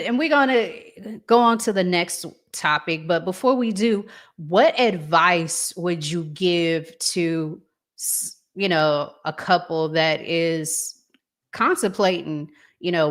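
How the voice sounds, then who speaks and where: A woman hosting a casual talk show, reading out audience comments and posing questions in a measured, deliberate way. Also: fairly low pitch.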